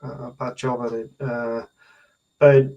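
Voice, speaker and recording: A man in his thirties giving technical explanations as an interview guest, hesitant and pausing mid-sentence to think. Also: mid-range voice, little pitch movement, dark tone, dull audio. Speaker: loudspeaker research engineer, interview guest. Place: indoor room at home, on a video call. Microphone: video call.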